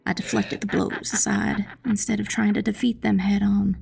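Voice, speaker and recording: A woman playing a determined witch who narrates a dark fantasy audiobook in an intense, dramatic, breathy voice with heavy creak. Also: medium pitch, fairly even intonation, normal speed, exaggerated voice. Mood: tense, weary. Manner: intense, hushed, dramatic first-person narration. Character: determined young witch telling her own battle.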